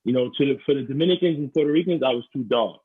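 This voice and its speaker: Husky young man on a tinny line. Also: medium pitch, racing. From New York. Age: young adult. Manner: earnest, conversational, fills with "you know". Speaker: podcast host. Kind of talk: podcast conversation.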